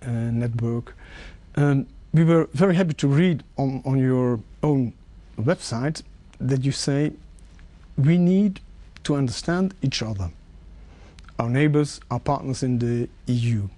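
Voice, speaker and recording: A man whose first language is Dutch, interviewing an official in a measured way with halting restarts. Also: medium pitch, dark tone, no room sound. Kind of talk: formal interview question.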